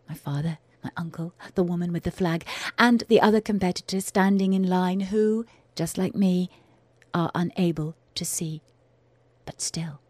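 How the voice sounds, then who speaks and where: A woman performs her own first-person audio drama. Her delivery is measured and intense, and she often sinks into a hushed whisper. The recording is dry and close, with no room sound. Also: lowish voice, husky, no echo. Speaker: writer and performer.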